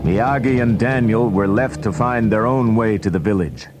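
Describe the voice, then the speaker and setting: A man's voice: lowish voice, normal speed, music in the background. A man reading scripted storybook narration as the narrator of a read-along recording.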